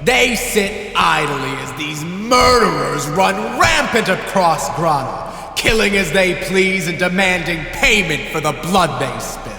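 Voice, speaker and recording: An actor playing a fiery rabble-rousing politician giving an impassioned, declamatory speech to a crowd in a dramatic audiobook. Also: male voice, high voice, lively intonation, slow, pushed and bright, heavily acted. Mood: outraged, rousing. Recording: echoing large hall, music underneath.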